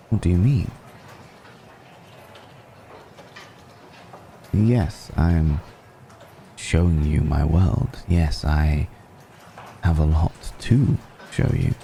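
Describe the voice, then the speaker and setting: An ancient, seductive vampire noble, acted out by a man, with an extremely low, very dark and velvety voice. He talks very slowly and formally, lingering over his pauses in a close, intimate ASMR roleplay. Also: a little hiss or hum, heavily acted. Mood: seductive, teasing. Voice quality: very deep, gravelly. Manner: slow, deliberate, formal wording with long pauses. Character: ancient, suave, seductive male vampire noble, affectionately amused.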